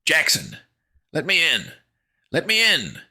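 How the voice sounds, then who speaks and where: A man voicing a desperate boy in an audio horror story, whimpering and shouting in a strained, frantic way. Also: a little high, wildly swooping pitch, takes it slowly, husky, voice pushed up, full character voice. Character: desperate pleading boy, uncanny imposter outside a door.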